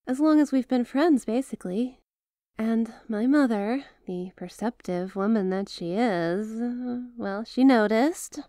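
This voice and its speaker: A woman playing an apologetic, lovestruck young mafia heiress in an intimate ASMR roleplay, talking in a hesitant, conversational, softly teasing way. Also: fairly high pitch, a little slow, no echo, clean studio sound, natural voice. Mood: shy, affectionate.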